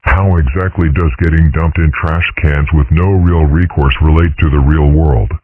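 A man voicing a polite, reasonable dragon father with formal, measured, understated delivery in a cartoon comic dub. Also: a bass voice, fairly even intonation, narrow, tinny audio. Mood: dry, courteous. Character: polite, reasonable seven-foot dragon father, dry and dignified.

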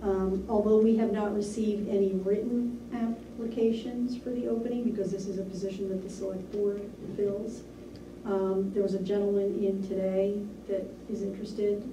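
A woman reporting to a town board in a measured, businesslike way with frequent ums, recorded in a small municipal meeting room by a distant camera mic. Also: medium pitch, level tone, reverberant, background noise. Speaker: town administrator. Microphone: far room camera.

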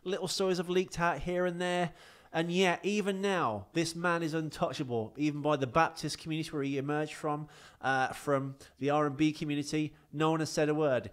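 A man's voice: fairly high pitch, steady tone, a little fast, hardly any pauses. A man, chatting casually as a comedian co-hosting a podcast. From England. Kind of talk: casual bantering podcast chat. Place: small indoor garage-style podcast studio. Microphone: close microphone on boom arm.

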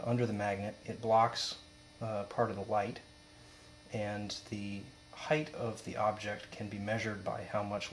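Young male student presenter, even monotone, informal and explanatory, faint mains hum behind. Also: on the low side, low-quality recording. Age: young adult. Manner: matter-of-fact, explanatory. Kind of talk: informal demonstration narration. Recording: steady mains hum, background noise.

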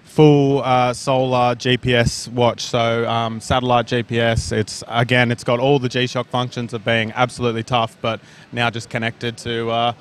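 A man in his thirties, a brand representative, pitching products upbeat with some fillers, on a handheld mic in an indoor expo hall. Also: flat delivery, moderate pace, clean tone.

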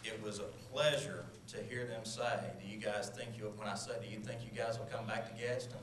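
A man's voice: lowish voice, fairly even intonation, brisk, fairly bright tone. A man standing at a podium in a large council chamber, giving a formal address full of thanks and named acknowledgements. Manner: earnest, lists names and thanks. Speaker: public speaker at city council. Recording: roomy hall sound with noise.

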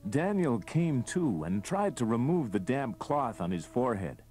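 A man's voice: mid-range voice, big pitch swings, measured pace. A man narrating a read-along storybook in a scripted style. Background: loud music.

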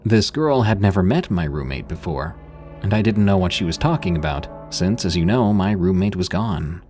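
A man narrating true scary stories in a slow, deliberate, suspenseful scripted reading. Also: low voice, animated, darkish tone, soft background music. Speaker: horror story narrator.

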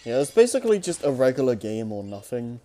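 Deep-voiced young man, mellow and laid-back. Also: quick pace, slight background noise. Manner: relaxed, hesitant, trails off with fillers. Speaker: let's play host. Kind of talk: casual chat over gameplay.

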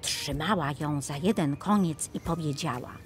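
A woman narrating a scripted fairy tale for children in an expressive storytelling style. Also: sits low, normal speed. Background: music.

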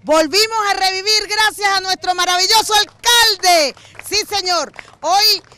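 A woman's voice: extremely high pitch, a little slow, heavy background noise. A middle-aged Venezuelan woman hosting community TV, interviewing people outdoors on a street with a handheld mic, effusive and fervent. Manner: effusive, fervent, repeats herself. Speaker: community TV host.

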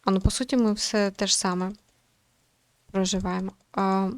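A woman's voice: mid-range voice, level tone, moderate pace, clear, resonant tone, no echo. A young adult woman giving casual let's-play commentary as a gaming host, thinking aloud in an informal, hesitant way.

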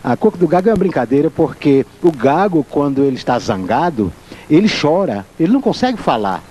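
An older man speaks in an archive interview clip. He explains things haltingly, with stops and restarts. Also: a little high, very animated, darkish tone, a little hiss or hum. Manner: hesitant, halting speech. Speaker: musician.

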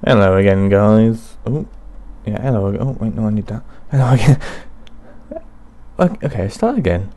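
A young man casually talking through a video game, mumbling to himself in an unhurried way, his throat bothering him. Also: fairly low pitch, expressive, warm tone. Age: young adult. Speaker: gaming channel host. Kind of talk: casual let's play commentary.

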